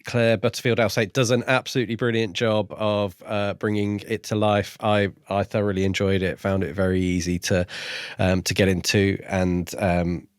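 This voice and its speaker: Low-voiced man hosting a podcast, dry sound. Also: level tone, normal speed, clear, resonant tone, no echo. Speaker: podcast host. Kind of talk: casual podcast interview chat.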